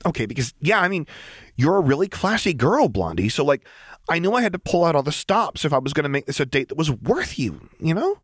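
A manic young troublemaker talks in a high, giddy rush, her voice leaping and cackling as a man puts her on. Her pitch jumps sharply from word to word, and the reading is close and dry. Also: very animated, a bit bright, husky, no echo, full character voice. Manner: giddy, fast, cackling delivery.

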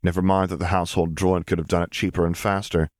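Man, deep voice, audiobook narrator, scripted storytelling, close dry recording. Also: little pitch movement, fast talker, darkish tone, dry recording. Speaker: audiobook reader of fan fiction.

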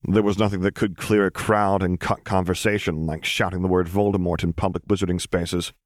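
A man's voice: low-pitched, little pitch movement, brisk, no room sound, clean studio sound. A man reading scripted fiction as an audiobook narrator.

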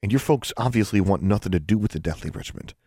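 A man voicing a frank teenage boy who reasons things out in a plain low voice. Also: animated, quick pace, warm tone, husky, dry recording, studio quality.

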